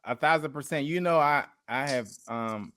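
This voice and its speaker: A man's voice: mid-range voice, moderate pace, bright, forceful tone, clean tone. A man co-hosting a casual review show with his wife, talking in a laid-back way about reality TV. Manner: conversational, laid-back, repeats "you know what I mean". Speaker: podcast co-host; married partner of co-host.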